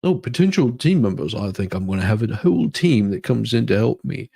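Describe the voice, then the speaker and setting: A man's voice: medium pitch, sing-song, creaky, warm tone, slightly rough, heavily acted. Man playing a theatrical, demanding woman inmate. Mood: earnest, demanding. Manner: theatrical, halting, emphatic demands. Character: dramatic, demanding woman inmate pleading her own legal case. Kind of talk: mock-dramatic reading of a letter.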